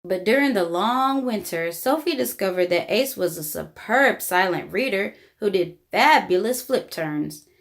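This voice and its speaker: A woman reading a children's book aloud in an expressive, deliberate storytelling style. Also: medium pitch. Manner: animated, deliberate storybook delivery. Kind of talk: expressive read-aloud narration for young children.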